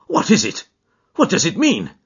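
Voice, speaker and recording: A man voicing a loyal, earnest gentleman companion who asks anxious questions in formal language, in an audiobook. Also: on the low side, huge pitch swings, normal speed, slightly rough, lo-fi sound, full character voice. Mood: horrified. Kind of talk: dramatised audiobook character dialogue.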